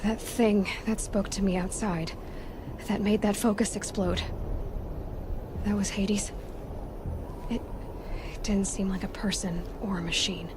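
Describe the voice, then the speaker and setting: A young woman acted by a female performer as a headstrong, inquisitive video game huntress. Her mid-pitched voice is direct and insistent, pushing for answers, with music playing underneath. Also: fairly even intonation, a little slow, long pauses, noisy background, lightly acted. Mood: uneasy, pensive. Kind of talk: video game cutscene dialogue.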